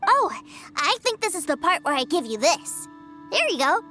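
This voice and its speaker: A cheerful little boy from a video game, with a very high, lively voice. He is trusting, earnest and emphatic, devoted to his big brother. Music plays prominently behind him. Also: normal speed, full character voice.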